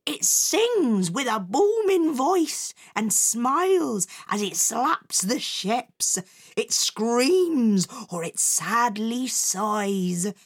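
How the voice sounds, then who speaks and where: A bold old pirate mother, put on by a woman who reads a children's story. She shouts and whoops in a high, lively voice, full of swagger. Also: extremely slow, slightly rough, heavily acted. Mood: dreamy.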